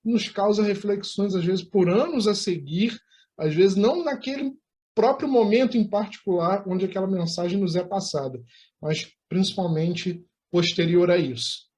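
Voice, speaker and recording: A Brazilian man hosting an interview, speaking formally in measured, wordy, articulate phrases. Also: sits high, darkish tone. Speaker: interview host.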